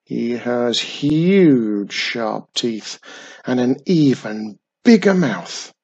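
A storyteller voicing a wary little old man who tells spooky tales slowly and with emphasis. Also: medium pitch, creaky, breathy edge, heavily acted. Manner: slow, emphatic, dramatic. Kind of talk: storybook reading.

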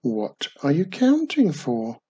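A storyteller voicing a young, excitable witch girl from a children's storybook, eager and squealing. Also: mid-range voice, slow, husky, dull audio, full character voice. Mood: curious, excited.

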